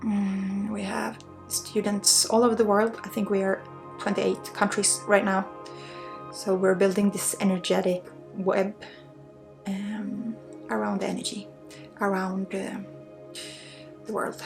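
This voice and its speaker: Creaky-voiced Swedish woman doing healing ASMR. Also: mid-range voice, little pitch movement, slow, pauses often, music in the background. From Sweden. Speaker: spiritual healer and energy work teacher. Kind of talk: ASMR guided energy healing.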